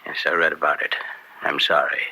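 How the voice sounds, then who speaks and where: An actor playing a wary, formal politician under a boss's thumb in an old-time radio drama. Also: male voice, extremely low pitch, little pitch movement, fairly quick, vocal fry, sharp tone, breathy edge, no echo, exaggerated voice, rough recording. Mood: cautious. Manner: formal, cautious. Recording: vintage radio drama recording.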